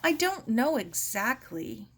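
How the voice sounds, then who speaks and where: A woman's voice: a little high, sing-song, fairly bright tone, a little hiss or hum, voice pushed up, heavily acted. A woman reading a storybook, voicing a polite, nervous young girl with a hesitant way of talking. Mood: flustered. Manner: hesitant, halting. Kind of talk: children's storybook read aloud.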